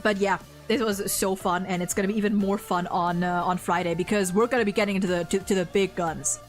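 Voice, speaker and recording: A woman hosting a livestream, chatting casually and excitably and swearing freely. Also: mid-range voice, fast talker, faint music behind. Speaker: streamer host.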